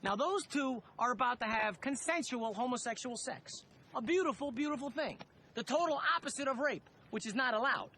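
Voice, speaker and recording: Cocky, high-voiced boss man. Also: expressive, a little fast, bright, forceful tone, slight background noise, full character voice. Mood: commanding. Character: cocky, self-appointed leader of a rough group of men. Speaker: actor in a comedy film. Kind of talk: film comedy dialogue.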